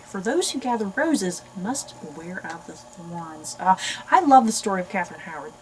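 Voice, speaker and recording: A woman's voice: fairly low pitch, sing-song, moderate pace, slight background noise, poor audio. An American woman hosting a book channel, chatting casually and expressively to viewers as she reads synopses aloud. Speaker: book review channel host.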